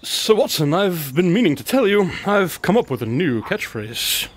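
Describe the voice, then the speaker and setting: A man playing an eccentric, self-assured detective with a playful deadpan manner in a comic radio drama. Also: high-pitched, normal speed, slight background noise. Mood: cocky, playful. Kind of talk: comedic radio drama acting.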